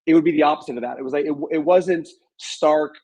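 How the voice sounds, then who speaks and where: A man's voice: on the high side, quick pace, warm tone, breathy edge. Male interview guest, author type, modest and reflective, conversational storyteller.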